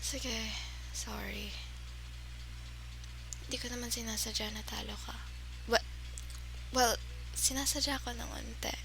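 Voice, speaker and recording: Casting: woman as teasing, affectionate gamer girlfriend, Filipino accent, slow delivery, ASMR roleplay with noticeable background noise. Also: a little high, fairly even intonation, vocal fry. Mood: soft, coaxing. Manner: teasing, giggly, sighing, mixes English into Tagalog.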